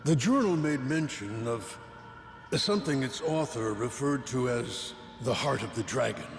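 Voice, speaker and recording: A man's voice: medium pitch, lively intonation, measured pace, vocal fry. A man voicing a learned, composed scholar in a video game, speaking formally and precisely. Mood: musing. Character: learned, composed scholarly gentleman explaining old lore. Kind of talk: scripted video game cutscene acting. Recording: echoey hall with faint music.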